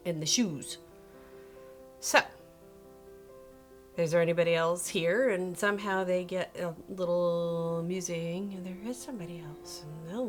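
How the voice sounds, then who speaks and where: A woman hosting a video and telling a story casually and comedically, in an animated, sarcastic manner with playful asides and self-interruptions. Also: lowish voice.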